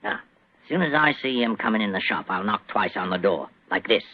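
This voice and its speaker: An actor playing a shifty working-class shopkeeper, matey and confiding, in 1940s radio style. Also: male voice, on the low side, lively intonation, a little fast, slightly rough, no room sound. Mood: chummy, hushed. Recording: dull, dry old radio recording.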